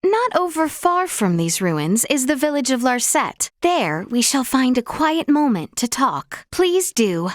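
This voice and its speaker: A young crown princess from a fantasy video game. Her voice is fairly high and highly melodic, in a dry, close recording. She speaks with formal, old-fashioned courtly phrasing, earnest and gracious. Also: sing-song, measured pace, hardly any pauses, dry recording. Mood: composed, earnest.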